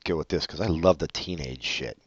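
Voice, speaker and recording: A man's voice: a bass voice, fairly quick, slightly rough, no room sound, highs cut off. A man co-hosting a casual chat, reading advice letters and making sarcastic, profane jokes. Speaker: podcast co-host.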